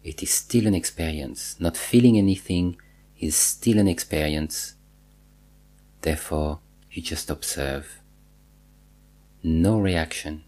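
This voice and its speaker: A man guiding a body scan meditation, giving measured instructions in short phrases with pauses and repetition. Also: low-pitched, warm tone. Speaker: meditation teacher.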